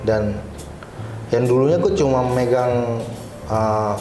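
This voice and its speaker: A man's voice: mid-range voice, slow pace, a little mellow. A man with a colloquial Jakarta Indonesian accent, chatting informally and telling anecdotes as an interview guest. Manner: informal, slangy storytelling. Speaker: interview guest, cyber security supervisor. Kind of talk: casual chat, telling anecdotes. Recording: roomy echo with faint music underneath.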